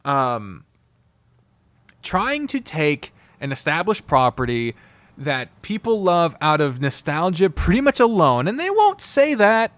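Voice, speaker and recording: A man giving an unscripted, casual review, blunt, profane and opinionated, often halting, digressing and trailing off mid-sentence. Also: on the high side, big pitch swings, fairly bright tone, dry recording. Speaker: visual novel reviewer.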